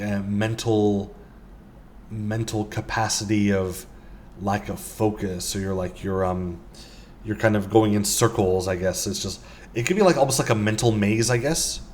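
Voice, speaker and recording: A man's voice: on the low side, a little mellow, slight background noise. A man casually talking through items in a game shop, rambling with lots of ums and uhs. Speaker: vlogger. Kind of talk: casual walkthrough commentary.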